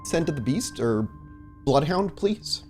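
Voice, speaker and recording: A man's voice: a little high, very animated, takes it slowly, darkish tone, soft background music. A man acting as storyteller for a tabletop roleplaying game, narrating in a descriptive, cinematic way. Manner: descriptive, cinematic scene-setting.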